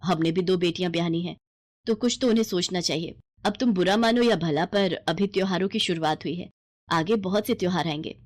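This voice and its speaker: A woman's voice: on the low side, brisk, darkish tone, lightly acted. A woman voicing a blunt, complaining, stingy middle-aged aunt in a storybook tale. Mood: curt, complaining. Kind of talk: acted storybook dialogue.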